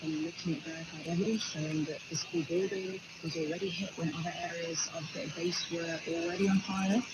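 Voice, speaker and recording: A man with a slightly high voice gives explanatory news analysis, repeating himself as he works through points. The sound is dull shortwave radio, swamped in heavy noise. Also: flat delivery, a little fast. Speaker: journalist explaining evidence.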